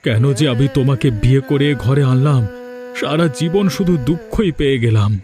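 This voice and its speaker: A man playing a worried, affectionate village farmer husband and father in a Bengali cartoon, with an expressive, complaining delivery. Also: medium pitch, normal speed, lightly acted.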